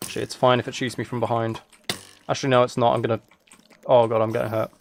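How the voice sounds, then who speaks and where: A man's voice: mid-range voice, flat delivery, fast. A man live streaming a game with casual, rambling, self-amused commentary, chatting as he plays indoors at a computer. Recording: clear background noise, keyboard and mouse clicks.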